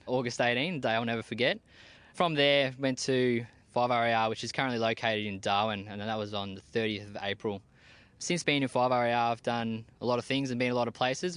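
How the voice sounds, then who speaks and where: A young Australian man in the army answers questions in a mid-pitched, everyday voice. He talks plainly and casually, with slang and a lot of "uh" and "um" between thoughts. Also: steady tone, fairly quick, fairly bright tone, clear, resonant tone, dry recording. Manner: plain-spoken, casual slang with frequent fillers. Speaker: army team leader. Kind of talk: interview answers.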